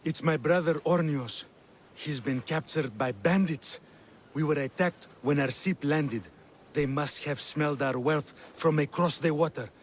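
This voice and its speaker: An actor playing a pompous, wealthy older exile, smug and condescending, in a video game cutscene. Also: male voice, a little high, a little slow, a little hiss or hum, natural voice. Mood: pleading. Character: pompous, wealthy old exiled Athenian man.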